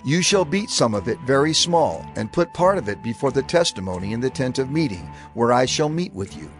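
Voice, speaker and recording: Lively-pitched man reading scripture solemnly. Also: a little fast, faint music behind. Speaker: scripture reader.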